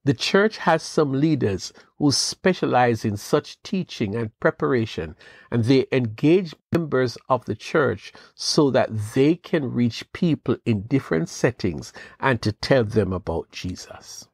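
A man hosting a devotional podcast, giving an earnest, measured Bible teaching with rising questions. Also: medium pitch, lively intonation, vocal fry, husky, dry recording, studio quality. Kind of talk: scripted devotional talk.

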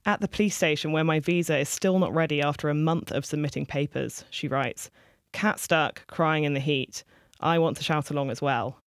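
A reporter giving scripted news narration with a clear, even delivery. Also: medium pitch, moderate pace, no room sound, clean studio sound.

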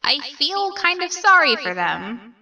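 Boastful, innocent skeleton played by a woman: high, bright, swooping, theatrical, slightly off the mic. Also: sing-song, a little slow, far from the mic, heavily acted. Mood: sympathetic. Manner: grandiose, earnest, theatrical. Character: cheerful, boastful, naive male skeleton brother. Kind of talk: comic dub character acting.

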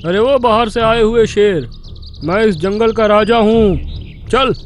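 A man doing a squeaky boastful rabbit hero. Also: a little slow, soft background music. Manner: blustering, threatening. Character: small male rabbit who thinks he is a lion, boastful. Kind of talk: cartoon dubbing.

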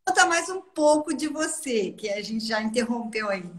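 A woman in her thirties with a Carioca accent, hosting a casual live interview from home with lively, enthusiastic interjections. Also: a little high, echoey room. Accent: Carioca Portuguese. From Rio de Janeiro, Brazil. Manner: warm, enthusiastic, quick interjections. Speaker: host of a live stream. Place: indoor room at home. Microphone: webcam on a video call.

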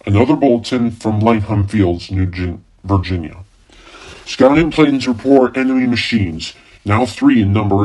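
A man playing an urgent radio newsman in a formal, halting 1930s bulletin style for a radio play. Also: medium pitch, a little mellow, close to an everyday voice, rough recording. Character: urgent male radio newsman reporting a Martian invasion. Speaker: radio news announcer.

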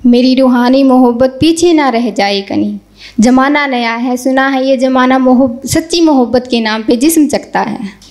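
High-voiced young woman reciting poetry. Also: measured pace, darkish tone. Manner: rhythmic, repeats each couplet. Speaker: poet. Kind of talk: open mic poetry recitation. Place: small indoor stage. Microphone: stand mic.

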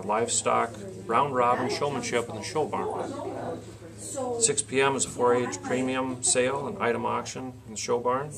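A man in his forties reading out an event schedule as an interview guest, plain-spoken and halting. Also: medium pitch, gravelly. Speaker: county fair board president, interview guest. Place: small indoor meeting room, seated at a table. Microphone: camcorder a short distance in front.

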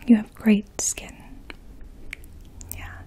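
A woman in her twenties speaking in a soft, hushed, breathy voice, gently explaining things as a makeup artist in an ASMR role play. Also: drawn-out words. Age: 20s. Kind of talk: ASMR role play, addressing the listener as a client. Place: small indoor room. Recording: faint steady outdoor hum. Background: distant lawn mower.

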